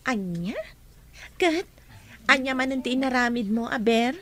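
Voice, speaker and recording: A woman's voice: sits high, lively intonation, unhurried. A Filipino actress in a radio drama playing a sensible young student who chides her friend in a familiar way. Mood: teasing, curious. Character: young female student, sensible, frank schoolfriend.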